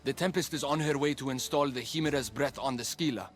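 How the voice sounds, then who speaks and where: Gentle, wistful young man, voiced by an actor. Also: medium pitch, fast talker, soft background music, natural voice. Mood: serious. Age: young adult. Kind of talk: cinematic game dialogue.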